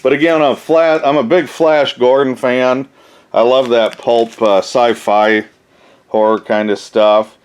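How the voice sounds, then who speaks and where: A man's voice: medium pitch, fairly even intonation, unhurried, smooth. A man hosting a casual, unscripted collector's haul video, thinking aloud in a rambling way with folksy phrasing. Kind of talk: casual show-and-tell, unscripted.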